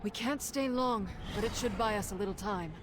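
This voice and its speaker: A woman's voice: medium pitch, moderate pace, very noisy, natural voice. A woman playing a resolute, troubled human mage leader in a fantasy video game, earnest and commanding. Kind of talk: video game drama acting. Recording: loud music behind.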